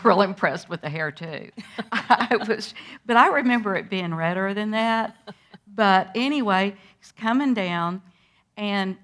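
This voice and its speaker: An older woman guest, telling a vivid personal story to schoolchildren in an animated way. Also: mid-range voice. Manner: lively, animated storyteller who acts out moments. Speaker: interview guest recalling a historic day.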